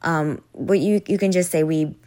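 A young woman from Hawaii, a singer-songwriter, chatting as an interview guest in a soft, hesitant way with many pauses. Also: low-pitched, steady tone. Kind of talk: casual interview chat.

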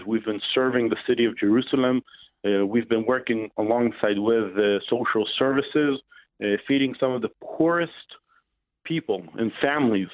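A man in his forties from Israel with an Israeli accent, a radio interview guest answering questions in a halting way, with frequent pauses and fillers. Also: lowish voice, no room sound. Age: 40s. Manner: hesitant, many pauses and "uh" fillers. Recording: tinny phone line.

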